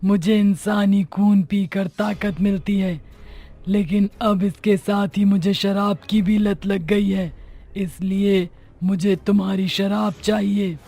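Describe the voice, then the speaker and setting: Woman acting cartoon witch, creaky voice, drawn-out theatrical menace, bloodthirsty, gleeful, slow delivery. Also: medium pitch, little pitch movement, warm tone. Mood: demanding. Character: gleeful bloodthirsty witch who craves liquor. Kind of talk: animated moral story dialogue.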